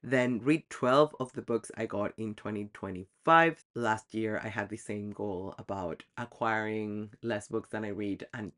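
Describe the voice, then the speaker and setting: Lowish-voiced guy rambling about his book goals, lots of ums, laughs at himself. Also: unhurried, hardly any pauses, a bit bright. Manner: chatty, self-deprecating, frequent ums, laughs at himself. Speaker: book vlogger. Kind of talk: casual chat reviewing reading goals.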